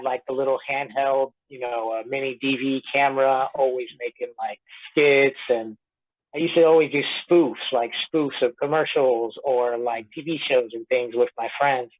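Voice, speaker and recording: Male guest heard through a thin phone line. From Florida, medium pitch, casual conversational interview delivery. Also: fairly even intonation, moderate pace. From Tampa Bay, Florida, United States; born in Puerto Rico. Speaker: actor being interviewed. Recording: tinny narrow-band phone line.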